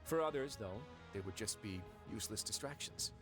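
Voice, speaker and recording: An actor playing a composed male prison warden in a game, speaking in a calm, measured, lightly wry way. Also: fairly low pitch, over music, close to an everyday voice.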